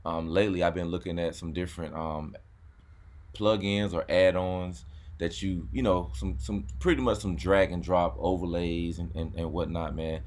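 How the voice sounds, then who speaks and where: Male host, very low voice, relaxed chatty delivery peppered with "man". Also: normal speed. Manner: laid-back, repeats words, says "man" a lot. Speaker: YouTube car channel host. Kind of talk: casual chat.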